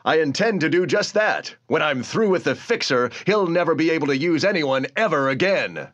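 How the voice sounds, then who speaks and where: A man's voice: a little high, expressive, moderate pace, fairly bright tone, lo-fi sound. A man playing a cocky, wisecracking costumed superhero with taunting, breezy bravado in a comic-book audio drama. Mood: resolute.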